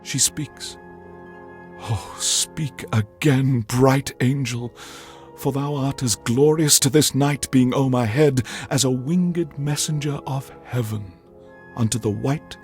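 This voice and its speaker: An actor playing a pompous, erudite alien overlord who hosts a game radio show, reciting in grandiose, carefully enunciated theatrical style. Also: male voice, mid-range voice, takes it slowly, a little mellow, soft background music, close to an everyday voice. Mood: lovestruck, reverent. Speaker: radio DJ host.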